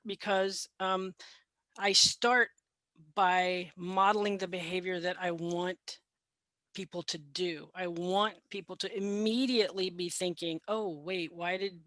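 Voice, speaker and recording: A woman's voice: lowish voice, fairly bright tone, no echo. Woman, health trainer, candid and chatty, dry close audio. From Mexican heritage, raised in the US. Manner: candid, conversational, laughs lightly. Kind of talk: panel discussion.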